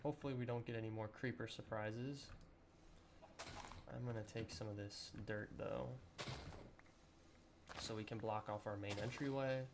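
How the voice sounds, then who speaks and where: Slightly raspy young man gaming. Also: fairly low pitch, moderate pace, long pauses, noisy background. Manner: relaxed, rambling with many ums and throat clearing. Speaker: gaming channel host. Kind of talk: casual let's-play commentary.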